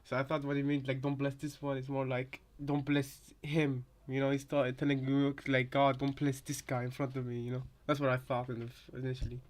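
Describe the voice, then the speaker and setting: A man with a mid-pitched, fairly monotone voice chats casually as a co-commentator. He thinks out loud, circling back and repeating himself while he works out what things mean. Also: brisk, hardly any pauses. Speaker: co-commentator reacting to game voice lines.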